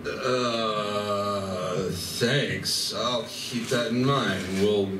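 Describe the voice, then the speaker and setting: A man's voice: on the low side, very slow. A man playing a grumpy, wry, exasperated furry alien in disguise in a live radio play. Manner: wry, complaining. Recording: echoey live venue, distant mic, clear noise.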